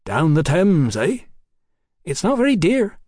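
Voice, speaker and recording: A man voicing a high-pitched cheeky London showgirl. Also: sing-song, measured pace, a little mellow, breathy edge, no echo, studio quality, full character voice. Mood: bold, flirtatious. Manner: cheeky, blunt, colloquial.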